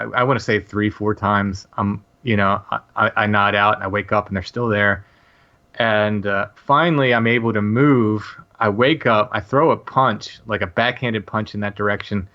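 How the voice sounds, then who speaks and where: Low-voiced man telling a story haltingly. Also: pushed and bright, highs cut off. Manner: halting, many restarts and fillers. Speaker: podcast guest. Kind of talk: casual storytelling.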